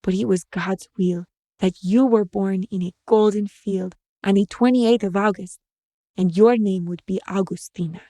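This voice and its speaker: Casting: devout young mother played by a woman narrator, tender and sorrowful, gentle bilingual phrasing, mellow, storybook reading. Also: medium pitch, steady tone, measured pace, no room sound. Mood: tender, resolute. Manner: gentle, mixing Spanish phrases into English. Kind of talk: short-story audio reading.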